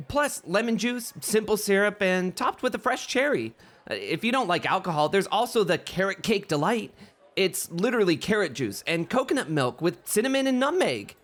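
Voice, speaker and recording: Male actor as bubbly, teasing bunny club host for ASMR roleplay; high-pitched, very animated delivery. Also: exaggerated voice. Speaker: guest voice actor in an audio roleplay.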